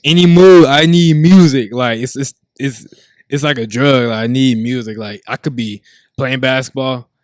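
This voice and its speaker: A man's voice: medium pitch, moderate pace, darkish tone, no room sound, studio quality. A man guest who DJs, talking casually on a podcast in an emphatic, profane, repetitive way.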